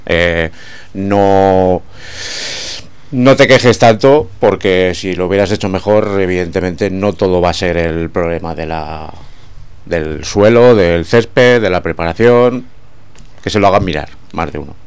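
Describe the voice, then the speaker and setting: A man talking as a sports pundit in a casual panel, measured and agreeing with the others. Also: low voice, clean tone.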